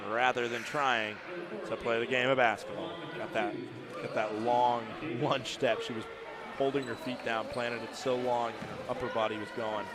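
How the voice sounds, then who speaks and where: A man giving live play-by-play of a college women's basketball game, chatty and opinionated, getting louder at close plays. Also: medium pitch. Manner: chatty, opinionated, rises to shouting at big plays. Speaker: play-by-play announcer. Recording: noisy arena broadcast feed. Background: gym ambience and ball bounces.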